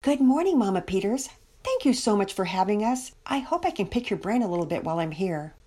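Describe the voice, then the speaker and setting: Woman putting on a pleading, lively-pitched young mother. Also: fairly quick. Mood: cheerful, polite. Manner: hesitant, apologetic. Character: embarrassed, pleading young mother of a willful toddler.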